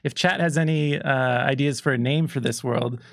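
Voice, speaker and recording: Guy with a gravelly fry, laid back stream co-host chatting, really dry sound. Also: mid-range voice, fairly quick, a bit bright, no echo. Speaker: co-host of a gaming livestream. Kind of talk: casual unscripted chat.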